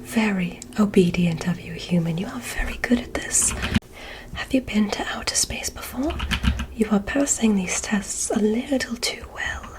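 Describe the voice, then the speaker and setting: A woman playing a curious, gently condescending alien medical examiner in an ASMR roleplay, speaking in a soft, breathy, measured whisper with clinical instructions. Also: medium pitch, natural voice, poor audio. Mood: curious, soothing. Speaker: ASMR performer.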